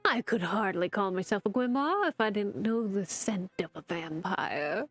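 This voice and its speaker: A woman voicing a haughty, sarcastic male talking spellbook, with pompous, drawn-out mockery over faint music. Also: mid-range voice, expressive, close to an everyday voice.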